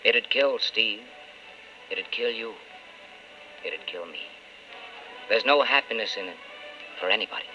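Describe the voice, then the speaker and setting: A man's voice: fairly low pitch, lively intonation, a little slow, pauses often, sharp tone, faint music behind, exaggerated voice. An actor playing a principled, wistful man in love, speaking earnestly in an old-time radio drama. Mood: resigned. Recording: muffled old radio broadcast with hiss.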